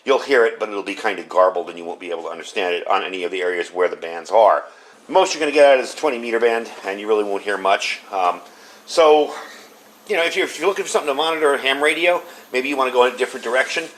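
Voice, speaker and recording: A man's voice: fairly low pitch, fast talker, poor audio. A man giving a casual hands-on gadget review, explaining things step by step in an informal way. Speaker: product reviewer. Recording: clear steady background noise.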